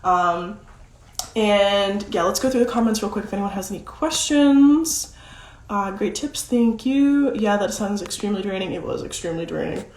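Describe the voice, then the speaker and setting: A young woman with a deeper-than-usual voice talks casually in a roomy, echoey space. She chats freely, with lots of "like" fillers, as she coaches her viewers on content. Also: lively intonation, moderate pace, a little mellow. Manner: chatty, many "like" fillers. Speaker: social media content coach. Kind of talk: casual livestream talk. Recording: roomy echo.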